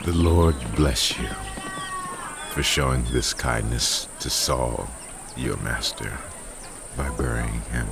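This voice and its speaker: Very deep, commanding warrior king. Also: takes it slowly, gravelly, heavily acted. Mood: solemn, gracious. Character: commanding male warrior king, solemn and fervent. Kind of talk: dramatized scripture acting. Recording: loud music bed under voice. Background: music.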